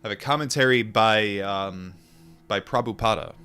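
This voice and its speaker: A man reading a book aloud and adding informal asides, in a measured, deliberate manner. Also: fairly low pitch, bright, forceful tone, clean tone, soft background music. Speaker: audiobook reader and commentator.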